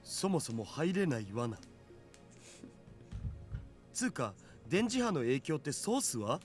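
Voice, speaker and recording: A man's voice: fairly high pitch, very animated, moderate pace, soft background music. A man voicing a young, skeptical geek sidekick in a Japanese visual novel, slangy and questioning.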